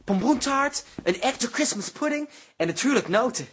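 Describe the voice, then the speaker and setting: A woman's voice: on the high side, lively intonation, moderate pace, husky. An actress playing a breezy, teasing woman in a Dutch radio drama. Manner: playful, breezy. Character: breezy, teasing young woman fond of London Christmases.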